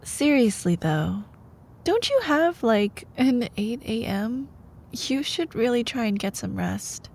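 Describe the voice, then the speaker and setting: A young woman playing a sweet, teasing girlfriend in an intimate ASMR roleplay, soft and playful, sometimes dropping toward a breathy whisper. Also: on the high side, big pitch swings, slow pace, a little hiss or hum, heavily acted. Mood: tender, concerned. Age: young adult. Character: sweet, teasing young girlfriend, a sleepy-hours college student. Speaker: ASMR voice performer.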